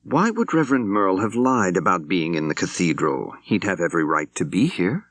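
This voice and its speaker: A man voicing a level-headed law professor turned amateur sleuth in an audiobook, with direct, measured questioning. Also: low voice, very animated, fairly bright tone, no echo, exaggerated voice. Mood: probing, calm.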